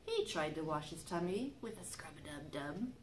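A middle-aged woman, a children's librarian, reading a picture book aloud to young children in an expressive, sing-song way. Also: sits low, moderate pace. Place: library reading area with magazine racks, indoors. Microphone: camera microphone a few feet away. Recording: faint background room noise.

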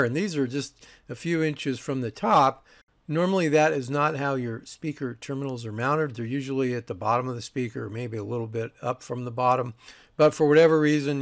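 A man presenting an audio review, explaining technical details in a casual, informal way. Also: mid-range voice.

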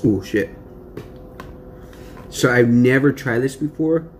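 A man's voice: mid-range voice, takes it slowly, a little hiss or hum. A young man chatting casually to the camera in an eating-show vlog, slangy and rambling with lots of fillers. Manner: laid-back, slangy, rambling with many fillers. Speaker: mukbang vlogger.

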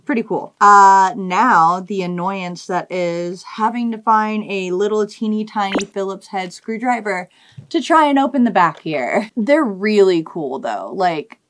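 A woman's voice: medium pitch, animated, measured pace, a bit bright. A woman in her thirties chatting casually and enthusiastically to camera in slangy, informal language as a hobby video host. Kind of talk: casual vlog chat to camera. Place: small indoor hobby studio room.

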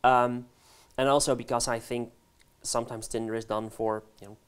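Dutch-accented man, halting studio guest. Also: medium pitch, flat delivery, smooth. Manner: halting, many restarts and fillers, laughs easily. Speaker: guest researcher. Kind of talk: casual interview answers. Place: studio set. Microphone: lapel.

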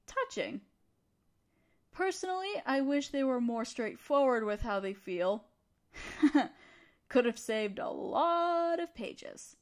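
A woman playing a young female student, a teasing but encouraging study partner, in an intimate audio roleplay addressed to the listener. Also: a little high. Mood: studious, wistful. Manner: teasing, conversational, affectionate.

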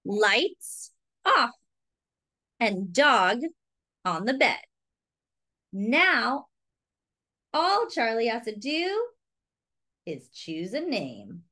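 A woman reads a children's storybook in a fairly high, very lively voice. She takes her time over each phrase and speaks simply, as host to young listeners. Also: extremely slow, long pauses. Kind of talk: expressive children's read-aloud with asides to young listeners.

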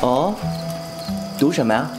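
A man's voice: medium pitch, expressive, unhurried, loud music behind the voice, close to an everyday voice. A man playing a cheeky, candid young swordsman in a Chinese audio drama, with a playful, casual manner. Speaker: voice actor.

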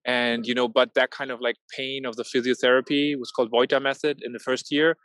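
A man's voice: medium pitch, flat delivery, a little fast, fairly bright tone, no echo. A man in his thirties from Norway and Germany, speaking hesitantly as a guest over a video call from a marina. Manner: hesitant, many fillers and restarts. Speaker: guest, tech founder. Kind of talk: casual interview talk. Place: outdoors at a marina.

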